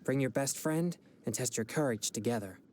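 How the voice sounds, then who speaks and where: A man's voice: mid-range voice, lively intonation, moderate pace, darkish tone, a little hiss or hum, close to an everyday voice. An actor playing a polite, earnest young male exorcist in a video game, with a formal, courteous manner. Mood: serious, explaining.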